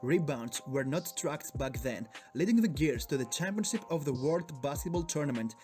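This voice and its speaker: A man's voice: a little high, lively intonation, moderate pace, a little mellow, faint music behind. A man from Greece with a Greek accent, narrating a sports video in a hyped, joking, profane way. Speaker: sports YouTuber.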